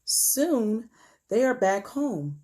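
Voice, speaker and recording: A woman teacher reads a children's picture book aloud at a slow, careful pace. Her voice is mellow and swings widely in pitch, with a gentle storytelling manner that invites young listeners in. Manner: expressive, gentle storytelling for young children, often dropping to a hush. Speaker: elementary school teacher reading aloud. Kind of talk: picture book read-aloud with questions to pupils.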